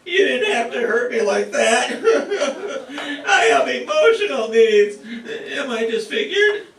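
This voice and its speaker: A man's voice: very high voice, very animated, takes it slowly, creaky, far from the mic, a crowd in the background, exaggerated voice. A man playing a panicky, whiny pioneer in a radio-play parody on a stage. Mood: wounded. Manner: whining, melodramatic wailing. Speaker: amateur stage actor. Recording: roomy stage from afar, audience laughter.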